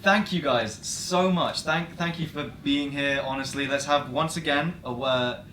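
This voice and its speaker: A man's voice: medium pitch, unhurried, fairly bright tone. A man presenting a casual, chatty cast interview as host, with a playful, jokey manner. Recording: roomy, noisy set with people chattering.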